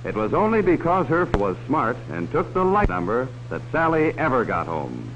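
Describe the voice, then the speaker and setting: A man's voice: medium pitch, huge pitch swings, normal speed, a bit bright. A man playing a cautionary narrator of a 1950s educational film, talking directly to children in a folksy way. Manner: folksy, direct address to children with leading questions. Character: cautionary male adult narrator warning children about strangers. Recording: dull, hissy 1950s film soundtrack.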